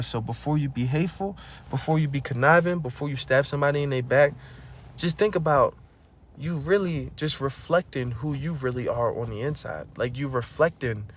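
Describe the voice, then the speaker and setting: Dude preaching motivation at the camera, slangy, keeps saying bro, kinda high voice, goes loud when hyped. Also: male voice, a little hiss or hum. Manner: slangy, preachy, repeats "bro" and "you know what I'm saying". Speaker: vlogger. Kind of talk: casual motivational monologue.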